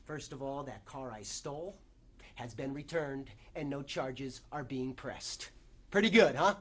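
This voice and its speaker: A man performing a stage monologue as a desperate, newly clean struggling actor, halting and self-interrupting as he pleads and bargains. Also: medium pitch. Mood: confessional, irritated. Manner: halting, self-interrupting, swinging between hushed confession and outburst.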